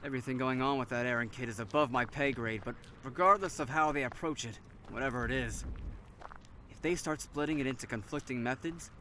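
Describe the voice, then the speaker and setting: A man's voice: medium pitch, brisk, sharp tone, slight background noise, close to an everyday voice. An actor playing a wry, worried man of twenty-nine surviving a zombie apocalypse in a conversational audio drama. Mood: worried, earnest. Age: 20s.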